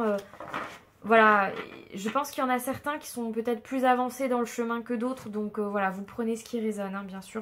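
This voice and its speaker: A woman's voice: medium pitch, a little hiss or hum. Stuffy-nosed woman reading tarot. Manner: hesitant, many fillers and restarts. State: stuffy nose from a cold. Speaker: tarot card reader. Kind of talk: casual spoken tarot reading to viewers.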